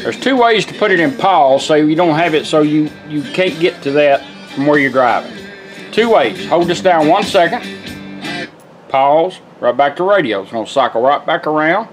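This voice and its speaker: A man's voice: fairly high pitch, animated, moderate pace, soft background music, rough recording. A man giving a casual, folksy, step-by-step product demonstration in an informal way. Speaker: vintage car radio restorer demonstrating. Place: indoor workshop bench.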